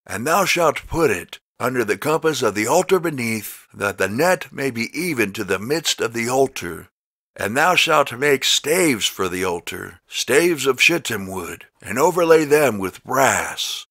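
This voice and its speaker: A man narrates scripture in a bright, forceful voice with lively, swinging intonation. He reads deliberately and emphatically from a script. The sound is close and dry. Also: mid-range voice, dry recording. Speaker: Bible narrator. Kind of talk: slow scripted scripture reading.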